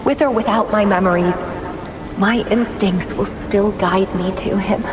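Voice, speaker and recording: Slow, echoing, brooding young woman warrior, voiced by an actress. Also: mid-range voice, fairly even intonation, noisy background, lightly acted. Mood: quietly resolute. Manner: deliberate, introspective, dramatic. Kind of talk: dramatic video game voice acting, monologue. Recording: echoey long reverb, loud music. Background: loud game soundtrack music.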